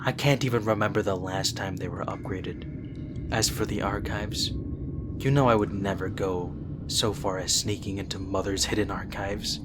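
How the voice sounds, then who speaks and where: A man's voice: low-pitched, steady tone, moderate pace, very noisy, natural voice. A man playing a principled, exasperated younger-brother vampire prince in an audio roleplay, speaking in an earnest, reproachful way. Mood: dry, evasive.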